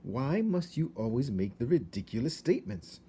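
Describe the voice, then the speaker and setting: A man's voice: mid-range voice, very animated, moderate pace, warm tone, a little hiss or hum, voice pushed up, close to an everyday voice. A man voicing a vain, dismissive mother mouse from a storybook, with a French accent and haughty manner. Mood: indignant. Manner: haughty, dismissive, oddly phrased English. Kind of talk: storybook character voice.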